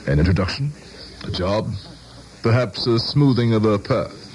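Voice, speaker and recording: A man's voice: fairly low pitch, lively intonation, normal speed, darkish tone, lightly acted. An actor playing a courtly, self-assured middle-aged politician in a radio drama, courteous and rhetorical in his speech. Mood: magnanimous, assured. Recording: old radio drama broadcast, music behind.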